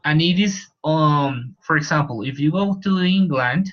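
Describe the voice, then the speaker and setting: A man's voice: a little high, measured pace. A male teacher with a Colombian accent, explaining points in an online class and repeating key terms. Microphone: video call. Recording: muffled video call audio.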